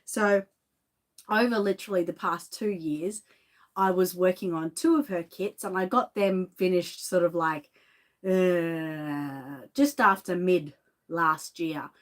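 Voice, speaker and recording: A woman's voice: on the low side, slow. Aussie lady chatting on a live stream from her home studio, bit of a cough, says hi to everyone. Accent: Australian. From Australia. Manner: chatty, greets viewers by name. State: lingering cough. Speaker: reborn doll artist and shop owner. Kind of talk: casual livestream chat.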